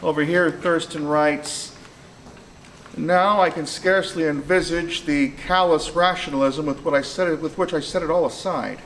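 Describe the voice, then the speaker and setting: Slightly high-voiced, uneasy man played by an actor. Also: steady tone, moderate pace, a bit bright, low-quality recording. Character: uneasy, puzzled man reading through strange documents. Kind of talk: stage radio-drama acting. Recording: live stage, faint noise.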